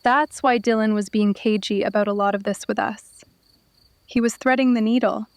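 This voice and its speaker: A woman's voice: mid-range voice, fairly even intonation, moderate pace, dry recording, close to an everyday voice. A woman playing a shrewd, resolute investigator in a noir audio drama, terse and matter-of-fact.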